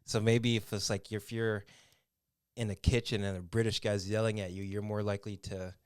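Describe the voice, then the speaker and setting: Laid-back low-voiced man. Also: flat delivery, normal speed, a little mellow, clear, resonant tone, dry recording, studio quality. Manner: laid-back, laughs often, short replies. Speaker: podcast guest. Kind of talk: casual chat.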